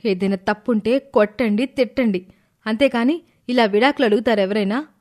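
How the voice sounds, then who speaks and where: A woman's voice: a little high, fairly quick, darkish tone, slight background noise, natural voice. A woman acting a wronged young wife in a story, emotional, earnest and resolute. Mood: shocked, defiant. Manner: earnest, protesting.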